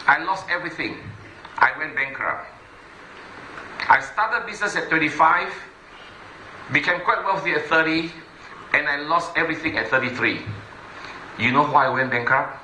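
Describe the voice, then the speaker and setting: A man's voice: on the high side, sharp tone, reverberant, slight background noise, rough recording. A middle-aged man giving an emphatic stage presentation to an audience, repeating his key points. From Malaysia. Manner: emphatic, repetitive, addresses the audience directly. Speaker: motivational business speaker.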